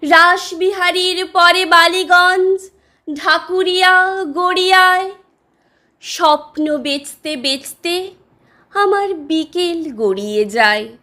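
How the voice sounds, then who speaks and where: A woman with a very high, bright, forward voice gives an expressive poetry recitation. Her delivery is slow and deliberate, shaped by dramatic pauses.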